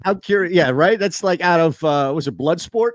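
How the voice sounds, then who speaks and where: A man hosting a sports talk show, animated and jokey in casual banter. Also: a little high, moderate pace, slight background noise. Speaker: host.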